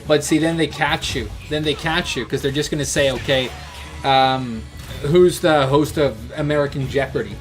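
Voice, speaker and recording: A man's voice: fairly high pitch, normal speed, soft background music. A man from Sweden with a Gothenburg accent, a streamer chatting casually and jokingly with his viewers while he plays. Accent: Swedish, Gothenburg. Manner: joking, chatty, slips into mock voices.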